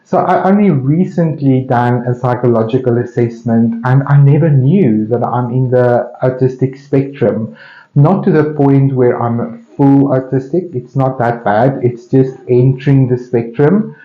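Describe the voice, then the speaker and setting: A man with a South African Afrikaans accent, a native Afrikaans speaker, musing casually and thinking aloud with pauses as he hosts an open painting studio. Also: medium pitch. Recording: roomy, slightly dull sound.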